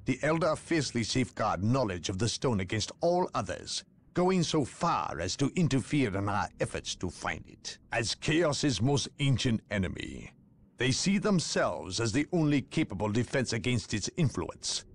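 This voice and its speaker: An actor playing a cold, secretive male inquisitor who speaks in a measured, coldly lecturing way, in a video game cutscene. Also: medium pitch, very animated. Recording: voice over orchestral game score.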